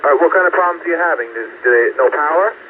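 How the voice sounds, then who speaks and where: A man's voice: a little high, fast talker. A man making short working exchanges as an operator over a two-way radio. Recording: tinny scanner radio, faint static.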